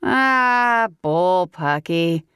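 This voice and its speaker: A woman's voice: lowish voice, lively intonation, drawn-out words, fairly bright tone, smooth, no room sound, clean studio sound, pitched above the performer's own voice, exaggerated voice. Woman voicing a tipsy, sassy Southern gal. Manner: giggly, sassy, folksy exclamations.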